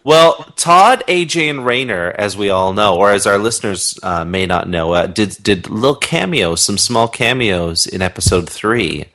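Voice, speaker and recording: A man with a low, bright voice chats casually as a podcast guest. His pitch moves in a lively way, and he agrees in short, repeated phrases. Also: moderate pace, hardly any pauses. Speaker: podcast guest, audio drama maker. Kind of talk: casual chat.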